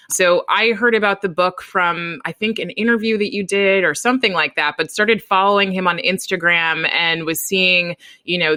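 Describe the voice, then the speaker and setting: A woman's voice: on the low side, pushed and bright, no room sound. A woman co-hosting a podcast interview in a casual, conversational style. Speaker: podcast co-host.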